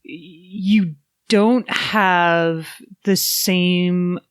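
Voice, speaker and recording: A woman with a slightly low voice joins a casual podcast conversation. She is reflective and hesitant, working out her thoughts aloud, and the sound is dry and close. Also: fairly even intonation, drawn-out words, smooth, no room sound. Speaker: podcast panelist. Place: in-person recording around a table.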